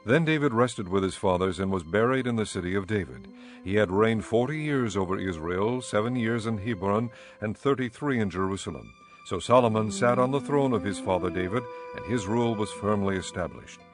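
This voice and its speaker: A man narrating scripture in a measured, formal storytelling manner for a dramatized audiobook. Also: lowish voice, faint music behind.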